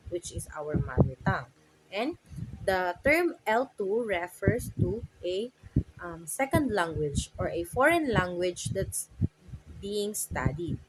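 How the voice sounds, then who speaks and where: A young Filipino woman from the Philippines, a native Tagalog speaker, giving a class presentation with frequent "so" and "um" and a halting delivery. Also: mid-range voice. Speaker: student presenter. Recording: loud background noise.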